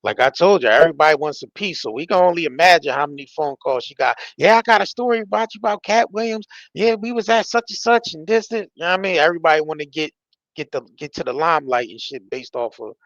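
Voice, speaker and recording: A man's voice: a little high, fast talker, a bit bright, no room sound, dull audio. An American man giving casual, off-the-cuff commentary in a colloquial, conversational way, often checking that the listener follows. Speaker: reaction show commentator.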